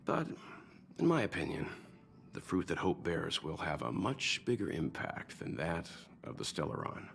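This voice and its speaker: An actor playing a wise, encouraging older mentor in a video game, measured, reflective and reassuring. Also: male voice, low voice, sing-song, gravelly, fairly bright tone, exaggerated voice. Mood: earnest, encouraging. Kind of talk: scripted video game dialogue. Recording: faint music underneath.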